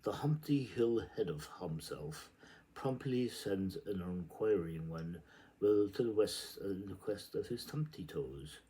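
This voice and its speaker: A man giving a theatrical, halting reading of literary prose, repeating words and shifting between murmurs and sudden emphasis. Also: low voice, expressive, warm tone, low-quality recording. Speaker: reader. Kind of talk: dramatic amateur literary reading aloud.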